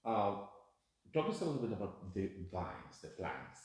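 Low-voiced Italian man interviewing, echoey. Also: huge pitch swings, moderate pace, darkish tone. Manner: prompting, leading questions in non-native English. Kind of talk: interview questions. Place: bright tasting room, indoors. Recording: very echoey room.

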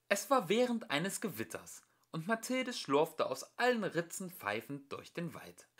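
A man's voice: medium pitch, sharp tone. A man narrating a children's storybook expressively, as an amateur audiobook reader.

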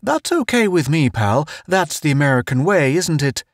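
Man playing high-pitched, gleeful, teasing spaceman buddy. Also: big pitch swings, a little slow, dry recording, clean studio sound, exaggerated voice. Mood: cheerful needling. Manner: gleeful, teasing, folksy wisecracking. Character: jovial, needling, giggly spaceman pal, personal affairs officer. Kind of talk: audiobook character voice.

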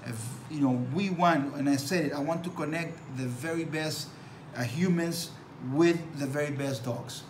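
A man's voice: a little high, moderate pace. A man in his forties, a dog breeder speaking English as a second language, talking to camera in an earnest, repetitive, preachy way. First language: not English. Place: small room indoors. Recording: echoey room with background noise.

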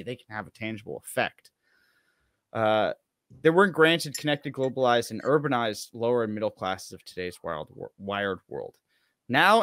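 A man's voice: mid-range voice, a bit bright, no echo, studio quality. A man co-hosting a talk show on a video call, reading an article aloud and commenting with sarcastic asides.

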